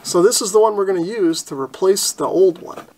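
An American man in his forties with a fairly high voice hosts a hands-on repair tutorial. He explains each step casually and plainly, like a hobbyist showing others the process. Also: a little fast, darkish tone. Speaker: host of a radio repair channel. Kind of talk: instructional narration of an electronics repair.

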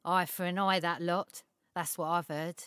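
An actress playing a shrewd assistant at a secretive tailor's shop in an audio story, talking in a blunt, wry, colloquial way. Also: female voice, on the low side, level tone, normal speed, fairly bright tone, smooth, dry recording, clean studio sound, close to an everyday voice. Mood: darkly wry. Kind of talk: dramatised audio short story acting.

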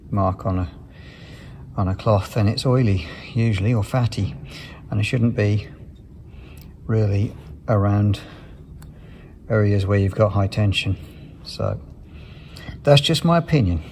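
A man's voice: on the low side, darkish tone. A man giving thoughtful, unscripted technical commentary as he works through a repair step by step, recorded on a hand-held device with some noise. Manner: thoughtful, explaining step by step while working. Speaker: aircraft maintenance engineer presenting a repair video.

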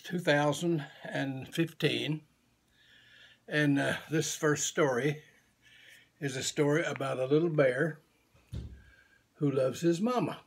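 A man in his seventies reading a children's picture book aloud expressively in a small room at home. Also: on the high side. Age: 70s. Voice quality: gravelly, husky. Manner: slow, deliberate, animated.

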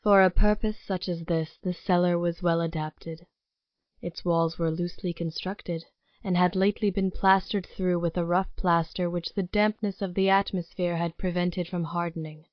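A woman narrator reading a scripted dramatic story in a formal, deliberate storytelling manner. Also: lowish voice, fairly even intonation, no room sound, dull audio.

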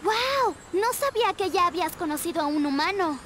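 Polite, reassuring girl mermaid for a game, extremely high pitch. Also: expressive, fairly quick, fairly bright tone, slight background noise. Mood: earnest. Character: helpful, upbeat young mermaid girl. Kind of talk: animated video game voice acting.

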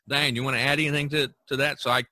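A man's voice: mid-range voice, flat delivery, fast, sharp tone, no echo. A middle-aged or older man hosting a podcast in a casual, rambling monologue, repeating phrases for emphasis. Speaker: podcast host.

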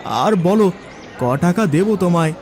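A man's voice: sits high, animated, measured pace, a crowd in the background. A man playing a poor, humble and devoted village son in a cartoon fairy tale, with an earnest, pleading way of talking. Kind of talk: animated fairy tale acting.

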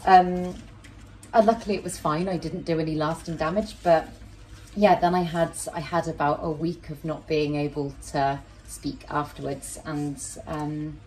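A woman in her thirties with a fairly low, deep voice talks to camera while she works with soil and roots. She rambles and breaks into her own sentences in an easy, unscripted way. The sound comes from a camera set at medium distance in a small home kitchen. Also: fairly even intonation, normal speed, darkish tone. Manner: chatty, rambling, self-interrupting. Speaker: houseplant channel host. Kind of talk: casual chat while repotting a plant. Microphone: fixed camera at medium distance.

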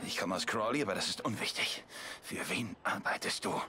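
An actor playing a cocky, street-wise young brawler and bodyguard in a video game. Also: male voice, fairly low pitch, a little fast, lightly acted. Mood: threatening.

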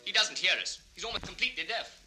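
A gossipy male townsman in an old story record. His highish voice is sharp and full of swing as he confides what he knows, with music faintly behind. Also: animated, fast, exaggerated voice. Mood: knowing. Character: knowing, gossipy townsman, admirer of a composer. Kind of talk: 1960s children's record radio-play acting.

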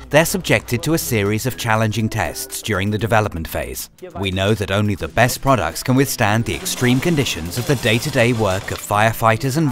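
A man reading a scripted training film narration in a measured, instructional manner over music. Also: fairly low pitch.

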